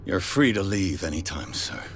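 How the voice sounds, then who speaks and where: A man playing a curt, world-weary private detective with a gruff, deadpan delivery in a video game. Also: low voice, moderate pace, a little hiss or hum, heavily acted. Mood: curt, cynical. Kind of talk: dramatic video game dialogue.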